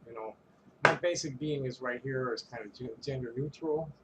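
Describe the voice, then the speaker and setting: A man's voice: mid-range voice, moderate pace, very noisy. A man ranting to camera in a casual vlog, rambling and profane, stumbling over restarts and chuckling between phrases. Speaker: vlogger.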